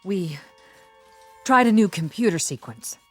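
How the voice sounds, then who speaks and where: A woman's voice: medium pitch, expressive, measured pace, faint music behind. A woman playing an earnest, modest codebreaking assistant in a radio drama, eager and slightly hesitant. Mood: excited.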